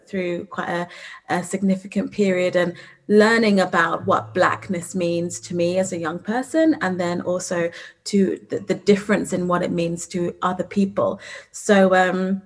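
Ugandan-born woman, fairly low voice, thoughtful and earnest, lots of ums. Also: steady tone, unhurried. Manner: reflective, earnest, many fillers. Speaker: business owner. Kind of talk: interview conversation.

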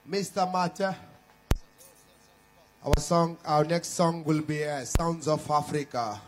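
A man from Mozambique introducing his band's next song from the stage, in slow, deliberate announcements. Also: fairly high pitch, fairly even intonation. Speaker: band leader introducing songs.

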